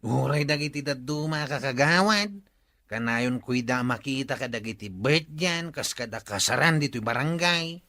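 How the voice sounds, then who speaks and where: A man's voice: mid-range voice, normal speed, pushed and bright, no room sound. A man playing a lazy, shrugging villager in an Ilocano comedy drama. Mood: dismissive.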